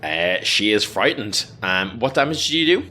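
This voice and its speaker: A man narrating a tabletop role-playing game as game master, improvising descriptions in a halting, filler-heavy way. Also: on the low side, very animated, bright, forceful tone. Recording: background music under the voice.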